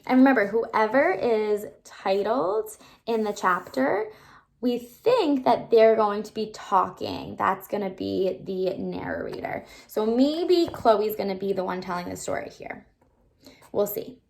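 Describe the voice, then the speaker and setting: A woman reading a children's novel aloud like a teacher, with animated storytelling and asides to explain or wonder. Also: on the high side, gravelly. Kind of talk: expressive children's book read-aloud.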